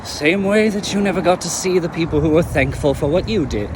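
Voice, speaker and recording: Man acting out resentful ex-villain, fairly high voice, cold and cutting, audio drama, noisy outdoor take with traffic. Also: brisk, lightly acted. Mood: scornful. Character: former villain, male, bitter and defensive.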